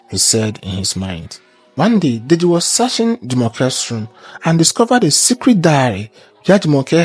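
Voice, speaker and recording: A man narrates a folktale in a fairly high voice that rises and falls with lots of animation. His delivery is measured and formal, with a husky, breathy edge, over background music. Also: darkish tone. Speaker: storyteller.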